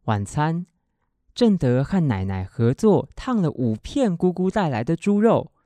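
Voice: medium pitch, sing-song, measured pace, mellow, dry recording. A narrator reading scripted storytelling for a children's radio drama.

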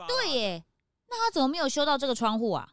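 A woman's voice: high voice, wildly swooping pitch, fast, crowd noise. A woman voicing an eager, naive young detective sidekick in a children's audio drama, wide-eyed and full of questions. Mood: eager, surprised.

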